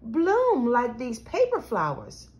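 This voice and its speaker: A woman's voice: a little high, huge pitch swings, slow, heavily acted. A woman voicing a dramatic, earnest, eager little girl in a children's storybook.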